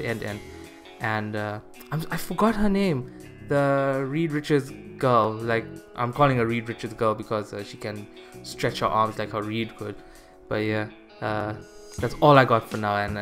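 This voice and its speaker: A man's voice: mid-range voice, fairly bright tone. Young man rambling casually over music. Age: young adult. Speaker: YouTuber reviewing game trailers. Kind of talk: casual reaction commentary to camera. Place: small room at a computer desk, indoors. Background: background beat music.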